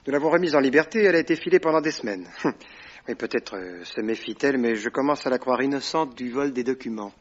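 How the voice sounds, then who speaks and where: A man's voice: mid-range voice, lively intonation, fast, natural voice. A man playing a curt young inspector. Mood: grudging. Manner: curt, grudging. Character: brusque young male police inspector. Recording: dull vintage radio recording.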